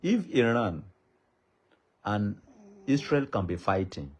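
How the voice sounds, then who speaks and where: A man's voice: on the low side, very animated, slow, slight background noise. A Nigerian man with a Yoruba accent, a native Yoruba speaker, makes an impassioned public appeal in a pleading, repetitive cadence like a preacher. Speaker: traditional ruler addressing the public.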